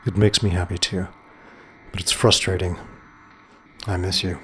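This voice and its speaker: A man's voice: deep voice, little pitch movement, measured pace, fairly bright tone, a little hiss or hum. A man playing a weary, lovesick scientist-soldier in a retro science fiction audio drama, subdued and anguished. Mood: aching, resigned. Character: weary, lovesick male scientist-soldier pining for his lover.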